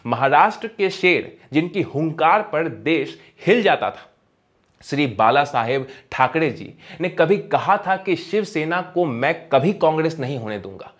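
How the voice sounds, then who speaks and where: A man's voice: a little high, expressive, unhurried. A man delivering scripted opinion narration as a commentator, in an emphatic, rhetorical manner.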